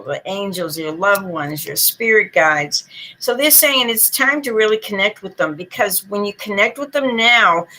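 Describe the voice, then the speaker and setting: A woman's voice: mid-range voice, sharp tone, poor audio. A woman with a Boston accent giving chatty, long-winded tarot readings on a live stream. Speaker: psychic reader.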